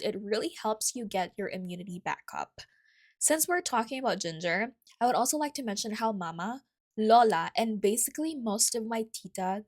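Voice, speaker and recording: A young woman with a Filipino accent talks casually as a solo podcast host. Her pitch sits in the middle range for a woman. She tells stories in a chatty, personal way, wandering into asides and correcting her own words as she goes. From Philippines.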